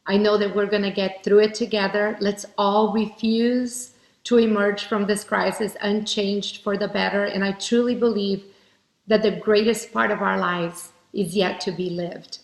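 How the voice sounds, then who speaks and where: A woman's voice: medium pitch, steady tone, a little slow, vocal fry. A woman from Brazil giving an earnest, heartfelt motivational keynote speech. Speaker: keynote speaker. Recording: roomy echo.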